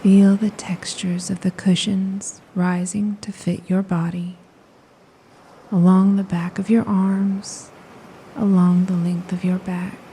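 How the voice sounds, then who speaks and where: Very dark, soft woman's meditation voice. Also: medium pitch, level tone. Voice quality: soft, breathy, often near a whisper. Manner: soothing, unhurried, with long pauses between phrases. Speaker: hypnosis and meditation guide. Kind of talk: slow guided meditation, hypnosis narration. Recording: faint ambient noise of ocean waves. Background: ocean waves.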